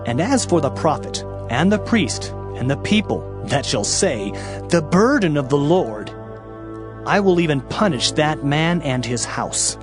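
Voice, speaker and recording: A man with a fairly high, very animated voice reads scripture as a dramatized audio Bible. His delivery is solemn, declamatory and emphatic, with music clearly playing behind him. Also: unhurried. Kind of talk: dramatized King James scripture reading. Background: background music.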